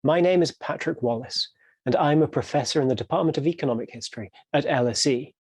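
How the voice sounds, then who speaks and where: A man speaking as a professor, giving a measured, explanatory scripted introduction. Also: fairly high pitch, a little mellow, breathy edge, no room sound. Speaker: professor introducing an audio drama.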